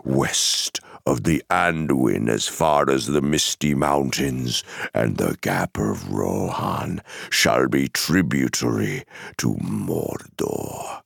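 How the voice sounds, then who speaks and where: Dark lord's herald, extremely deep, breathy rasp, slow, haughty, contemptuous, fantasy audiobook villain. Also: male voice, expressive, dry recording, studio quality, exaggerated voice. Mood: imperious menace. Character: menacing, haughty dark lord's messenger, male.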